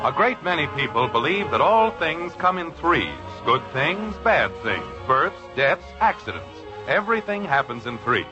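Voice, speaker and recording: A man giving wry, scripted narration for a 1950s radio sitcom. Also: medium pitch. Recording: dull old radio broadcast. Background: music.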